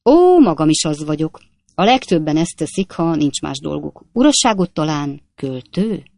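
A woman's voice: low-pitched, sing-song, darkish tone, no echo. A woman voicing a boastful, talkative male swindler who speaks in short, clipped fragments. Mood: bragging. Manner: clipped, breathless fragment sentences. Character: boastful chatty male swindler, young gent.

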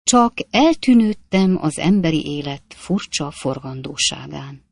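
A woman voicing a dignified, pensive elderly gentleman who speaks in a measured, grave way. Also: sits low, lively intonation, creaky, no room sound, exaggerated voice.

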